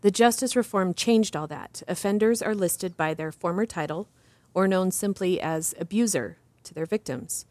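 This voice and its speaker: Actress as female advocate, lowish voice, composed, measured, didactic, dry close sound, fiction podcast interview. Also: a little mellow, dry recording, lightly acted. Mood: composed, instructive. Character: composed, assured female advocate explaining justice reform. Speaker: interview guest. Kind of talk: scripted explanatory interview acting.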